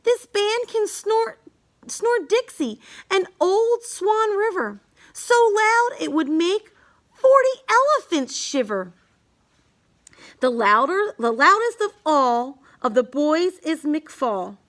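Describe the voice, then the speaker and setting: A woman's voice: very high voice, big pitch swings, takes it slowly, a bit bright. A woman reading a rhyming children's book aloud in an emphatic, sing-song storytelling manner.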